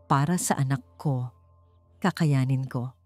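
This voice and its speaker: A woman's voice: very deep voice, unhurried, a little mellow, soft background music. A woman voicing a meek young pregnant maid in an audio drama, pleading and submissive. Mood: resigned, tearful.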